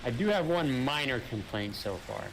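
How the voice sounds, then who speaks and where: Male gamer, easygoing commentary with careful qualifiers, mid pitch, noisy mic. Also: lively intonation, moderate pace. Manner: thoughtful, explains points with careful qualifiers. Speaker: co-op gaming partner. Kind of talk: casual gameplay chat. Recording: clear background noise.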